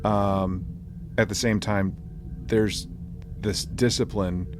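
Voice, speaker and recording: A man's voice: on the low side, steady tone, slow, over music. A man co-hosting a podcast in casual discussion, hesitant as he searches for his words. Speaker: podcast co-host. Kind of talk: casual discussion recapping an audio drama.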